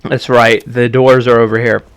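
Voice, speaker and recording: Man, hoarse, losing his voice, casual game commentary, thinks out loud, dry close sound. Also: mid-range voice, flat delivery, normal speed, dry recording. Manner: thinking aloud, methodical. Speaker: gameplay streamer.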